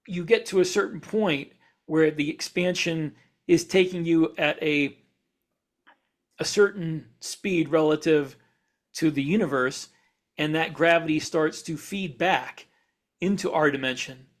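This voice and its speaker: A man's voice: a little high, unhurried, long pauses. A man co-hosting a podcast as an author, explaining things in casual shop talk with wandering, self-correcting sentences. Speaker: podcast host and science fiction author.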